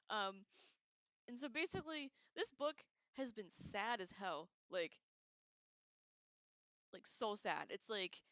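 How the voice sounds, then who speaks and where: A woman hosting a casual livestream, chatting to viewers in an excitable, giggly way with loose, profane slang. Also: mid-range voice, no room sound. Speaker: livestream host. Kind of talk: casual chat to live viewers.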